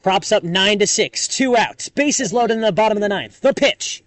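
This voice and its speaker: High-pitched young male baseball announcer. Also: animated, a crowd in the background. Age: young adult. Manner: dramatic, drawn-out calls on big plays. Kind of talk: radio sports commentary.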